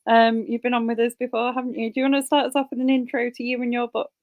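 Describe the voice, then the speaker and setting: A woman's voice: fairly high pitch, level tone, fast talker, phone-quality sound. A woman hosting a book club author chat over a video call, chatty and enthusiastic. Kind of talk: casual interview hosting.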